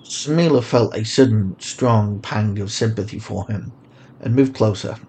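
A man's voice: lowish voice, measured pace, darkish tone. A man reading scripted fiction as a story narrator.

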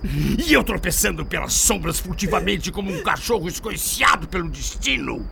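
A man's voice: on the high side, gravelly, slight background noise, heavily acted. An actor playing a tormented, obsessed and jealous adoptive father in a radio soap opera, anguished and melodramatic. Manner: melodramatic, anguished, erupting into shouts.

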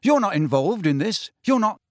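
A man's voice: high voice, lively intonation, moderate pace, no room sound, clean studio sound, voice pushed up. A man voicing a short-tempered, tense teenage boy hero in an audiobook, curt and exasperated in manner. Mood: impatient, angry. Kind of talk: audiobook character voice.

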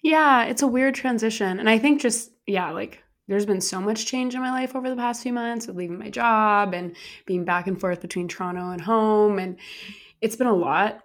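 Woman co-host, slightly raspy recovering voice, chatty, easy laughter, loose conversational delivery. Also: mid-range voice, normal speed. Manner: chatty, laughing, uses lots of "like". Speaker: podcast co-host. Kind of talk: casual chat.

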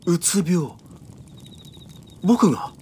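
A man's voice: sits high, lively intonation, drawn-out words, darkish tone, a little hiss or hum, exaggerated voice. An actor playing a timid, depressed young man in an audio drama, hesitant and polite. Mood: startled, unsure.

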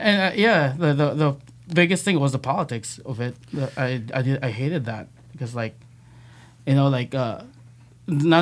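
A man from the Philippines, a band member, chatting casually in a podcast interview, rambling with many fillers and frequent swearing. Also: mid-range voice.

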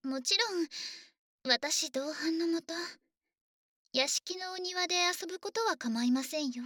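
High, unhurried dragon maid, formal, doting, soft whispers, dry close mic. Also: female voice, no room sound. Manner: formal, doting and gently teasing. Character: devoted polite female dragon maid to a young master. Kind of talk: ASMR sleep roleplay.

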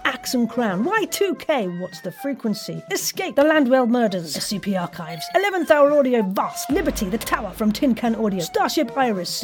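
A woman's voice: on the high side, a little mellow. A woman hosting a chatty podcast, speaking in an effusive, meandering way. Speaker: podcast host and audio drama voice actor.

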